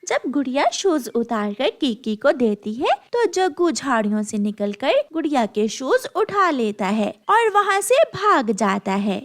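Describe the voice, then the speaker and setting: A woman's voice: sits high, very animated, measured pace, few pauses. A woman narrating a scripted children's story as the narrator.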